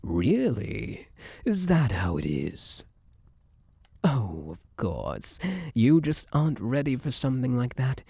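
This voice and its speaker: A man's voice: mid-range voice, wildly swooping pitch, takes it slowly, vocal fry, warm tone, slightly rough, no echo, heavily acted. A man playing Luck itself as a possessive, smug, suavely menacing suitor in a theatrical ASMR roleplay, coaxing the listener with old-fashioned flowery endearments. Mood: wounded, dismissive.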